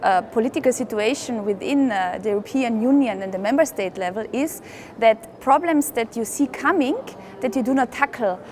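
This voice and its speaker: A woman politician speaking deliberately, with some fillers. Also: a little high, lively intonation, darkish tone, a little hiss or hum.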